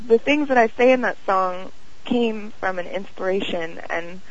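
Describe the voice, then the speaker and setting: A young woman, a guest on an interview, who talks in a dreamy, halting way full of fillers and pauses. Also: medium pitch. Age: young adult. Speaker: guest, singer and actress. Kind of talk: casual interview chat. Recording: dull lo-fi audio.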